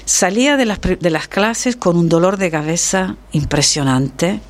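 A woman's voice: fairly low pitch, unhurried. Reflective woman telling her story. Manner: reflective, lively storytelling with many restarts. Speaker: guest, actress. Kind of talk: conversational interview.

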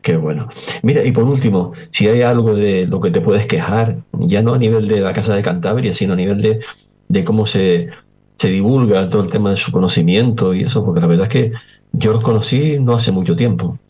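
Male radio host, easygoing interviewer with a lowish voice, muffled recording. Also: brisk, dark tone.

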